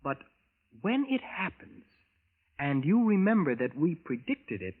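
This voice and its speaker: John, formal time traveler, tinny radio. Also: male voice, on the high side, very animated. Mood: cryptic, solemn. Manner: measured, formal, avoids contractions. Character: John, cryptic, formal visitor from the future. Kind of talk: 1940s radio acting. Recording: tinny vintage radio broadcast with music.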